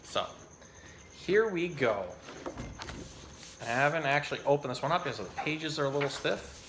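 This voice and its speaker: A man's voice: a little high, lively intonation, normal speed, background noise. A man presenting informally as a zookeeper hosting an educational animal storytime, explaining things in plain, everyday language. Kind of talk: casual educational talk and storybook reading.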